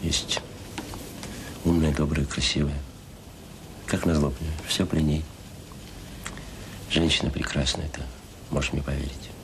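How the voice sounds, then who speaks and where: An actor playing a rueful middle-aged factory manager in a radio drama, talking in a colloquial, hesitant, confiding way. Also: male voice, rumbling low, little pitch movement, measured pace, long pauses. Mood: rueful, wistful. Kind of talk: radio drama acting, intimate conversation. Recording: hissy old audio play recording.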